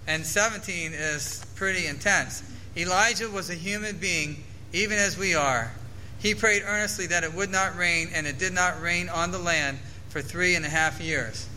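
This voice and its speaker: A man's voice: high voice, normal speed, bright, forceful tone, a little hiss or hum, poor audio. A man preaching a sermon to his church, earnestly reading scripture aloud and explaining it. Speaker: pastor.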